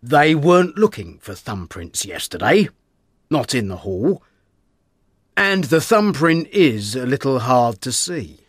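A man as cocksure police inspector, easily cross, animated pitch, slow emphatic phrasing, dry close sound, storybook. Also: breathy edge, dry recording, full character voice. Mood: confident. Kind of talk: dramatised audiobook storytelling.

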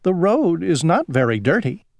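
A reader voicing a mild, easygoing grasshopper in a children's story, speaking simply and calmly. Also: medium pitch, moderate pace, creaky, dry recording, pitched above the performer's own voice. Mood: calm, matter-of-fact.

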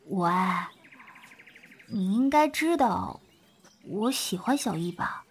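A woman's voice: medium pitch, lively intonation, slow, slightly rough, heavily acted. A woman playing a jealous, possessive schoolgirl in an audio drama, with an emotional, dramatic delivery. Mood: hesitant, wistful. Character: jealous, possessive schoolgirl hurt by her closest friend. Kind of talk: Chinese audio drama acting.

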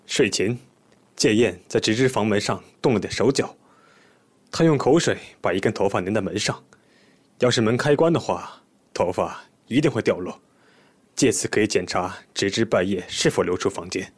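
An actor playing a composed male police detective in an audio drama, explaining things in a measured, methodical, polite way. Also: on the low side, animated, husky. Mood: explanatory. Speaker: police investigator questioning a witness.

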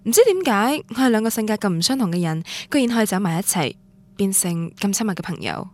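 An actress playing a proud, romantic young student from a radio drama, narrating in Guangdong Cantonese in a wistful, confessional way. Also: female voice, mid-range voice, lively intonation, quick pace, lightly acted. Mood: dreamy, nostalgic. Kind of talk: scripted radio drama monologue. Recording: music under the voice. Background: music.

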